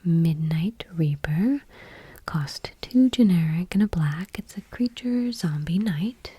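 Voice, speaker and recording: A woman speaking in a soft, breathy whisper, reading aloud gently and unhurriedly in an ASMR style. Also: on the low side, expressive, slow, no echo. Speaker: host of an ASMR channel.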